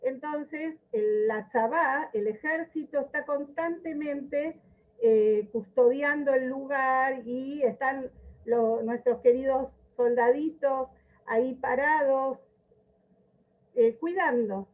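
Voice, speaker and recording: A high-pitched female lecturer teaches in an informal, storytelling way. She takes her time, leaning on key words and repeating them. The sound is a dull, lo-fi video call. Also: slow pace. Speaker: history lecturer.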